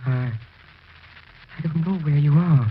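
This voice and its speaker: A man acting a grieving, obsessed widower in 1940s radio horror style, pleading in a halting, insistent way. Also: fairly high pitch, lightly acted. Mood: desperate longing. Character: grieving, obsessed widower haunted by his dead wife. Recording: dull, hissy 1940s radio broadcast recording.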